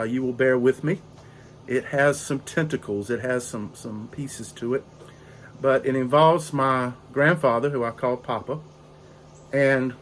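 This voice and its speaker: An older man, raspy and hoarse from a cold, rambling slowly through a casual story on his porch. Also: medium pitch, a bit bright, slight background noise. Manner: slow, rambling, with frequent fillers. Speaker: pipe-smoking video host. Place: outdoor porch in drizzling rain.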